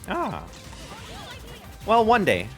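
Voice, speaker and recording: A young man hosting a game stream, giving lively, excitable play-by-play and laughing often with his co-host. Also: sits high, very slow, faint music behind. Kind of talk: casual game commentary.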